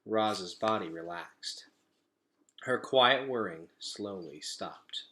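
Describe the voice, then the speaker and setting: A man reading a children's storybook aloud to pupils in an expressive, deliberate storytelling manner. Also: fairly low pitch, slight background noise.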